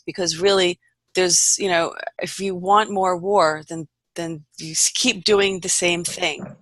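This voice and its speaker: A woman hosting an unscripted online panel, speaking in an earnest, halting, meandering way. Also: on the low side, fairly even intonation, a bit bright, no echo. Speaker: host of an online panel report.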